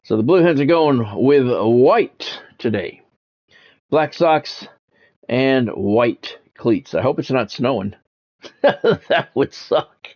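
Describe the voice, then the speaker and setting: A man's voice: medium pitch, expressive, slow, a little mellow. A man giving casual live video game commentary with sportscast touches, talking conversationally and thinking aloud. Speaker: video game streamer and play-by-play announcer.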